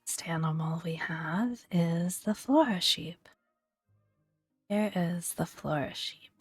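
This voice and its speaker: A woman speaking softly and breathily in a gentle, playful ASMR style, often dropping into a whisper as she reads and comments. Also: lowish voice, takes it slowly, slightly rough, no echo, faint music behind. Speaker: gaming video host. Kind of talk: soft-spoken ASMR, reading creature entries with casual commentary.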